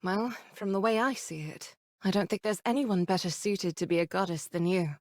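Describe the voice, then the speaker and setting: An actress playing a composed, caring young woman who leads a squad in a video game, measured and gently reasoning. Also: medium pitch, dry recording, clean studio sound, close to an everyday voice. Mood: gentle, earnest.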